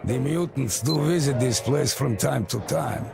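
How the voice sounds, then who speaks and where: A man playing an easygoing, friendly survivor in a video game, talking in a chatty, gravelly, drawn-out way. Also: medium pitch, loud music behind the voice. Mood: calm, helpful. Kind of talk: video game cutscene acting.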